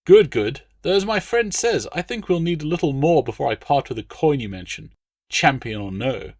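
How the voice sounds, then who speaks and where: A man's voice: fairly high pitch, lively intonation, moderate pace, no room sound, pitched above the performer's own voice. A man voicing a bluff, overbearing Roman legate in an audiobook, with a hearty, pompous, blustering delivery. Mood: brisk, demanding. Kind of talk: audiobook character dialogue.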